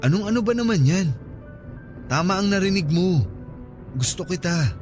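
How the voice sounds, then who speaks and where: Casting: lovestruck office friend in radio drama, fairly high voice, earnest, coaxing, clear music bed. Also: male voice, animated, moderate pace, a little mellow. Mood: earnest confession. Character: earnest, flirty male coworker smitten with his friend. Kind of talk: Filipino radio drama acting. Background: background music.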